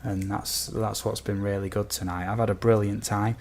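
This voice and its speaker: Low, slightly croaky male game streamer. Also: level tone, normal speed, noisy background. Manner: easygoing, chatty, addresses viewers as buddy and guys. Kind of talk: casual livestream chat to viewers.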